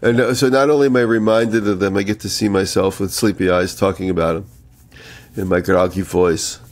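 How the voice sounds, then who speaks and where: A man's voice: sits low, steady tone, fairly quick. A man co-hosting a podcast in casual chat, telling rambling anecdotes, slightly nasal from a head cold. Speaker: podcast co-host.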